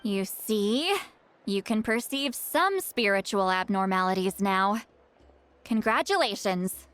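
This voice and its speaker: A woman playing a proud, sharp-tongued high priestess who flusters easily, in a video game. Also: expressive, takes it slowly. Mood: smug, brisk. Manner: bossy, brash, theatrical. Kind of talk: video game cutscene voice acting.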